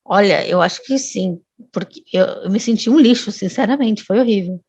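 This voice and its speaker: A woman's voice: mid-range voice, moderate pace, a little mellow, slightly rough, no room sound. A woman, a guest telling a long personal story in casual chat, often restarting and adding tag questions. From Brazil.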